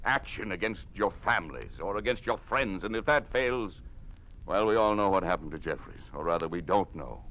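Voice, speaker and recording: A principled, world-weary governor in a classic radio play. His voice is deep and swings widely in pitch, with earnest, measured oratory that resists tyranny. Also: animated, fairly bright tone, muffled recording, slight background noise. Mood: resigned, pleading. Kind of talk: old-time radio drama acting.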